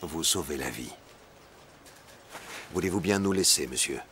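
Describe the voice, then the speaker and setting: Very deep, ominous older gentleman. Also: expressive, a little hiss or hum, exaggerated voice. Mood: cool, courteous. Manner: formal, measured, ominous. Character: enigmatic older gentleman with a cane and a bad leg, grave, courteous. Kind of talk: French animated drama acting.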